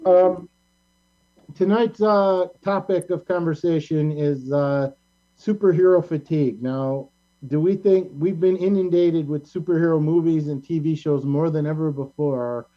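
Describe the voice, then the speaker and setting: A man's voice: on the high side, unhurried. A man hosting a casual group chat. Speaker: host. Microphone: video call. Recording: muffled online call with hum.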